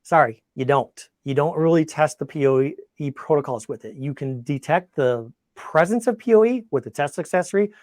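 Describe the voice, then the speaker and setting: Male webinar presenter, conversational, thinking out loud, technical product demo, dry close-miked sound. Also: fairly high pitch, lively intonation, moderate pace, few pauses, no echo. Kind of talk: informal technical webinar demo, answering questions.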